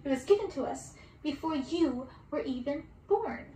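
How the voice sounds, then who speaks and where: Woman putting on a high, taunting witch, roomy. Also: expressive, normal speed, mellow. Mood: indignant. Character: cackling, mocking witch sisters, spiteful and possessive. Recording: distant roomy mic with background hiss.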